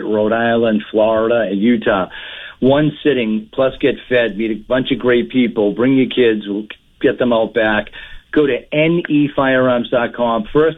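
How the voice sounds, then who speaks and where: A man with a Boston accent, a firearms instructor calling in to a radio show, rambling in an energetic salesy way. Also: mid-range voice. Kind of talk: phone-in radio chat. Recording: tinny phone line.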